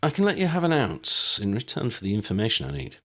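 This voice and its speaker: A man voicing a nervous, eager young male wizard, pitched well above his own voice. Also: wildly swooping pitch, quick pace, fairly bright tone, exaggerated voice.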